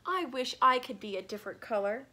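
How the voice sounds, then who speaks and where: A big, clumsy, friendly storybook hippo, played by a woman reader. It talks in a high, very lively voice with earnest exclamations. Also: fairly quick. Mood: wistful.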